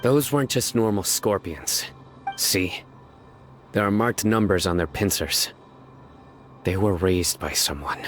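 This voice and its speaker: A man playing a stern, composed young lawman in a video game, speaking in a serious, measured, instructive way. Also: low-pitched, soft background music. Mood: grave, alert. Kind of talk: video game character acting.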